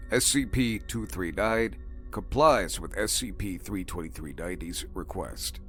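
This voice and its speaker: A man's voice: deep voice, unhurried, a bit bright, soft background music. A man reading a formal, clinical log as scripted narration for an audio drama.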